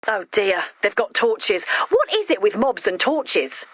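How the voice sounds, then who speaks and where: A woman's voice: mid-range voice, very animated, measured pace, a bit bright, husky, no echo, heavily acted. A woman voicing an earnest, chatty young heroine with romantic ideals and a bossy streak in a video game. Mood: gently scolding.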